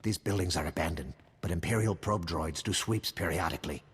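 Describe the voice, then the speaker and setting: Man as wary lookout, game dialogue, very low pitch, hushed, cautious, light reverb, music behind. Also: fairly quick, close to an everyday voice.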